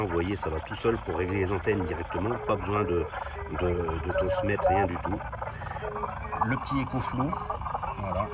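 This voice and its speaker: A man with a fairly low voice talks casually to his viewers as he demonstrates some hobby gear. His manner is informal and down-to-earth, full of little conversational tags. It is a field recording with clearly audible noise behind him. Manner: informal, down-to-earth, often tagging phrases with "hein". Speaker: host of a CB radio hobby vlog. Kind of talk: casual hands-on demonstration to camera.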